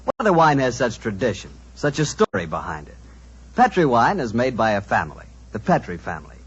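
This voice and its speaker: American male radio pitchman, 1940s style, swinging intonation, chummy, salesmanlike, dull old broadcast recording. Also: wildly swooping pitch, fairly quick, slight background noise. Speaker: radio announcer and sponsor's pitchman.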